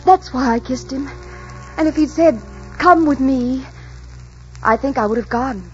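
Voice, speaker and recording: Romantic young daughter, spirited, dreamy melodrama, 1940s radio acting, muffled recording. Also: female voice, sits high, measured pace, soft background music. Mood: dreamy, yearning.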